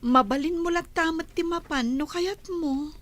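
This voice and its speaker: Dutiful young daughter, high voice. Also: female voice, normal speed, a bit bright, no room sound. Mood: reluctant, wistful. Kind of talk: radio drama acting.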